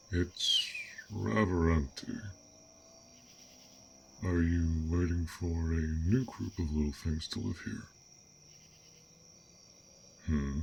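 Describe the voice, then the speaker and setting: A man voicing a gentle, bashful dragon in an intimate fantasy audio roleplay, speaking haltingly in formal, old-fashioned words. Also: very deep voice, vocal fry, a little hiss or hum, full character voice. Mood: curious, musing. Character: fearsome but gentle, bashful, curious male dragon. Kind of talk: roleplay audio acting, intimate one-sided dialogue.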